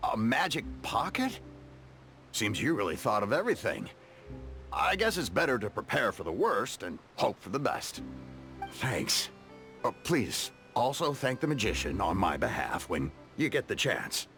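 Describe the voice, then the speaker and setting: A man's voice: medium pitch, wildly swooping pitch, a little slow, a bit bright, soft background music, full character voice. A man playing a polite, apologetic townsman in a video game, courteous and slightly hesitant. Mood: polite, grateful.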